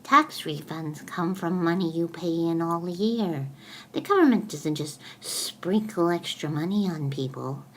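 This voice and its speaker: A woman playing a patient, motherly explainer who gently corrects and teaches in a storybook skit. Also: fairly low pitch, expressive, unhurried, slight background noise, rough recording. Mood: patiently explaining.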